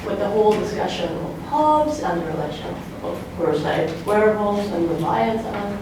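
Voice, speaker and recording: A woman's voice: mid-range voice, expressive, normal speed. Distant, echoey woman asking an academic question. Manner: tentative, frequent "like" as filler. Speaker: audience member asking a question. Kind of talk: academic question, thinking aloud. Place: indoor university conference room. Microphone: distant room microphone. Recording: echoey, noisy room from far off.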